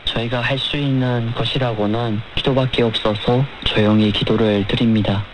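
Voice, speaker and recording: A man's voice: mid-range voice, steady tone, normal speed. Man on noisy shortwave radio. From North Korea. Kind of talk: measured radio talk and letter reading.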